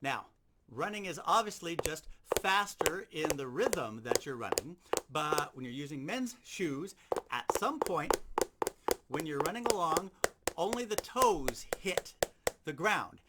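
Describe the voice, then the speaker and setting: Male instructor, high voice, lively inflection, informal step-by-step demo over loud background noise. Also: a little slow. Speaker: sound effects instructor. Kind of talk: instructional demonstration talk.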